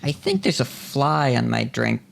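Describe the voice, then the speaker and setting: A man's voice: mid-range voice, steady tone, measured pace, creaky. A man hosting a podcast, talking conversationally in wordy, thought-out phrases. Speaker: podcast host. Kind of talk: conversational interview.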